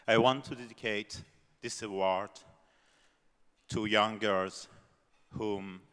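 A man in his forties, a native Persian speaker, giving a halting, earnest award acceptance speech on a stage at a stand mic. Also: lowish voice, fairly bright tone, smooth. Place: large awards hall stage. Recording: long hall reverb, crowd faintly behind.

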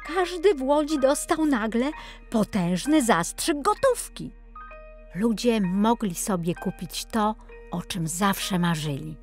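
Lively woman storyteller with animated pitch. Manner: expressive, playful storytelling. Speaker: storyteller narrator. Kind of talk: scripted children's story narration.

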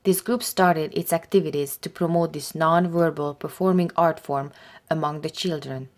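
A woman reading scripted documentary narration in a steady, formal way. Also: low voice, normal speed.

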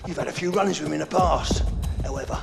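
Gravelly, menacing London gang boss. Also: male voice, a little high, fast, over loud music. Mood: cold menace. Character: menacing male London gangland boss, commanding and cruel. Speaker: voice actor in a crime game. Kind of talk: game cutscene acting.

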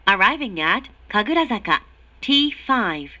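A woman reading formal recorded train announcements in a measured, clearly articulated way. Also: medium pitch, big pitch swings, pushed and bright, no room sound. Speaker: English-language train announcer. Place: recorded announcement played inside subway car.

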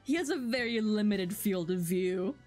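A woman doing playful, excitable live game commentary and reading dialogue aloud with mock drama. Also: medium pitch, normal speed, soft background music. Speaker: game streamer.

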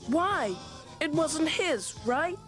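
A man's voice: very high-pitched, lively intonation, drawn-out words, heavily acted. A modest American teenage boy in a film scene. He talks casually and a little bashfully but eagerly, with faint music behind. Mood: bashful, friendly. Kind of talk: film dialogue.

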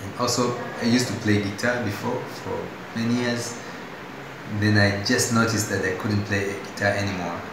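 Halting young Tanzanian man, echoey room. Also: fairly low pitch, fairly even intonation. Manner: halting, with restarts and fillers. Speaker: patient giving testimonial. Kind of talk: unscripted spoken testimonial. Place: hospital room, indoors. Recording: very echoey room with audible noise.

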